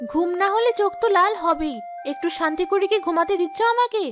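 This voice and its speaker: Woman voicing a very shrill, snappish she-ghost. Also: fast talker, music in the background. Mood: irritable, defiant. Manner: snappish, irritable, scolding. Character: greedy, sly female Bengali ghost possessing a village wife. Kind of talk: Bengali cartoon ghost story voice acting.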